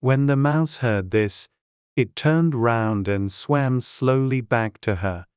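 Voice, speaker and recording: A woman's voice: rumbling low, takes it slowly, a little mellow, clear, resonant tone, no echo, clean studio sound. A woman reading scripted storybook narration as an audiobook narrator.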